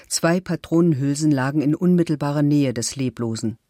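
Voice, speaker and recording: A man's voice: fairly high pitch, fairly quick, warm tone, dry recording, natural voice. An impersonal male official voiced by an actor lists evidence from a police report. The reading is sober and exact, in radio documentary style, with a very dry sound.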